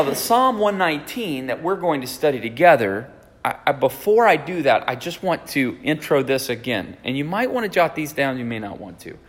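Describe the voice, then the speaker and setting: A man's voice: fairly high pitch, big-room sound. A pastor in his forties giving a conversational teaching sermon, often checking in with the congregation. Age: 40s. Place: church sanctuary.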